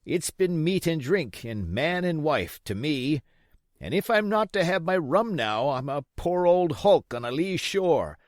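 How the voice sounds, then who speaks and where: A man voicing a sick, desperate old pirate in a storybook, wheedling and blustering in rough sailor's dialect. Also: fairly high pitch, big pitch swings, measured pace, dry recording, studio quality, voice pushed up. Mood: indignant, pleading. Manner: rough nautical dialect, pleading and cursing.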